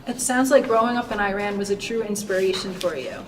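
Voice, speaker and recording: A woman's voice: medium pitch, quick pace. A woman interviewer reading polite, prepared questions to her guest. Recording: distant mic with clear background noise.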